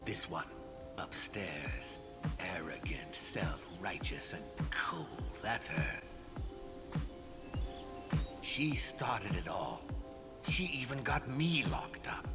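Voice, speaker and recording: Sly bitter guide, deep voice, theatrical insinuating murmur, swooping delivery, loud music behind. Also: male voice, lively intonation, slow, long pauses, heavily acted. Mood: bitter, scornful. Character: sly mysterious male guide, bitter, egging on rebellion. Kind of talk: video game voice acting.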